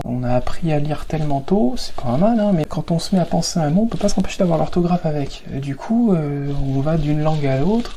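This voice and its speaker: A man's voice: on the high side, warm tone, slight background noise. A man from Paris with a Parisian French accent, explaining things informally in a conversational, digressive way and stressing key words. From Paris, France. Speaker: video host explaining etymology.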